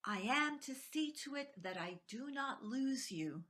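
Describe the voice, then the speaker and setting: A woman reciting poetry expressively, phrase by phrase in a measured way. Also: high voice, lively intonation. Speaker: poetry reader.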